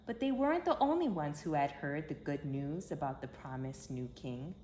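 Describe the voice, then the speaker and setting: Low-voiced woman reading to children, roomy echo. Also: moderate pace. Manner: expressive, emphatic, simple phrasing for children. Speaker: children's story reader. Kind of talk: read-aloud storytelling for young children. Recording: roomy echo with background hiss.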